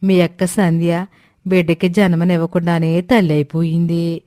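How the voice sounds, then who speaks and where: A woman's voice: on the low side, level tone, normal speed, dark tone, dry recording, studio quality, natural voice. A woman acting a meddling, judgmental village gossip in a moral story. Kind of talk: acted moral-story dialogue.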